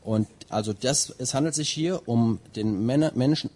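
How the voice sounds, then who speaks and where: A man's voice: mid-range voice, normal speed, darkish tone, husky. A man interpreting a sermon line by line, who also reads a scripture passage aloud. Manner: translates line by line, with some fillers and restarts.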